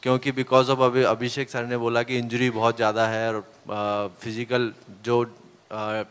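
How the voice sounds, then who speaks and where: Team captain at a press table, smooth voice, hall echo. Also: male voice, medium pitch, monotone, measured pace. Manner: earnest, hesitant with many fillers. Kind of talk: press conference answers. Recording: long reverb of a hall.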